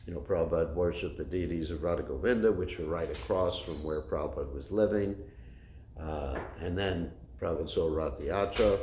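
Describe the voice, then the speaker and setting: A man with a loud voice giving a religious class, telling a story with many fillers and restarts, recorded without a microphone. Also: low voice, brisk, slight background noise. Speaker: spiritual teacher. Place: class room with a kitchen nearby. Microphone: no microphone, room recording. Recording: echoey room.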